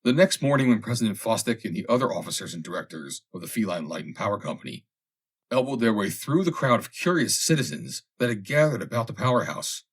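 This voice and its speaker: A man narrates a vintage comic tale in a fairly low, husky voice. His delivery is dramatic and expressive, with lively swings in pitch as he reads the scripted prose. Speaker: audiobook reader.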